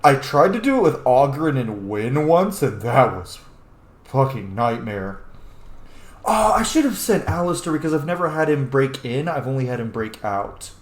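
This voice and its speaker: A man's voice: medium pitch, very animated, moderate pace. A man giving casual, sweary let's play commentary as host of a gaming channel. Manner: informal, sweary. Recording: roomy echo with faint noise.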